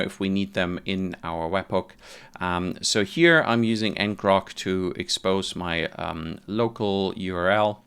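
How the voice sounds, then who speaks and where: A man with a low, smooth voice walks through a software tutorial. He keeps it informal and conversational, explaining each step as a presenter would to fellow developers. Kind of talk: casual software tutorial narration.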